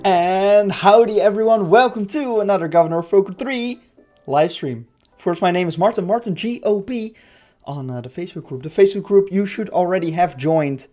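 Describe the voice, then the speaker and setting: A man hosts a game livestream with a raspy, stuffy-nosed voice. His tone is somewhat high but mellow, and he rambles along with plenty of fillers. Faint music plays underneath. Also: brisk. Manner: rambling, many fillers, light laughs. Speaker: livestream host. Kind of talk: casual livestream chat.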